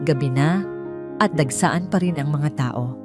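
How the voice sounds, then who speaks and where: A woman's voice: deep voice, unhurried, music in the background. A woman reading a scripted emotional story as a dramatic narrator.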